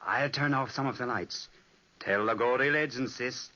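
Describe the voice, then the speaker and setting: An actor playing a genial young manor owner who also narrates in an old radio play, teasing and lively. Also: male voice, mid-range voice, moderate pace, dull audio, lightly acted. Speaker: brother and narrator. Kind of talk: 1940s radio acting.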